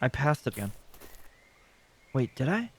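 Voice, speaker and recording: Lively, joking male game streamer. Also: mid-range voice, a little slow, breathy edge. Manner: joking, swearing, playful banter with chat. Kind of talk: casual live gameplay chat. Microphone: slightly overdriven streaming mic.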